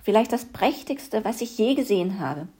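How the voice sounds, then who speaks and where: A woman voicing a curious hare in a spoken fairy tale, talking calmly and coaxingly. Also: medium pitch, moderate pace, breathy edge. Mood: flattering. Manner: calm, coaxing, slightly strained. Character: curious, wily hare trying to stay composed.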